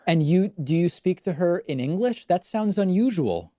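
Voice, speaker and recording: A man's voice: high-pitched, lively intonation, normal speed, dark tone, no echo. A male host of a call-in talk show, asking callers dry, probing questions.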